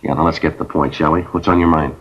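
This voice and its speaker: A no-nonsense police sergeant fires off clipped, impatient questions at a very fast pace in a very low voice. It is an old radio drama recording, dull and lo-fi, with a clear hiss. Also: male voice, fairly even intonation. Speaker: police detective. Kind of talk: 1950s radio crime drama acting.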